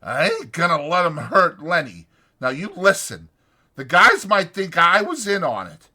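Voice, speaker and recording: Guy doing a worn-out ranch hand in an audiobook, firm and protective, blunt country talk, raised pitch, forceful. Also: very animated, a little slow, heavily acted. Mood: firm urgency. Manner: blunt ungrammatical rural working-man speech. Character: weary, resigned male ranch worker, protective and firm. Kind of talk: dramatized audiobook character voice.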